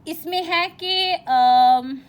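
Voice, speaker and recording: A woman teacher giving an informal lecture, explaining and reading out definitions in a halting, repetitive way with frequent check-in tags. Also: very high voice.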